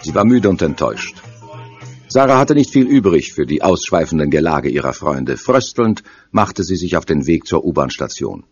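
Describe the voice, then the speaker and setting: Male narrator for audio thriller, low voice, scripted dramatic delivery, slightly muffled with faint noise. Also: a little mellow, breathy edge. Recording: dull sound with faint noise.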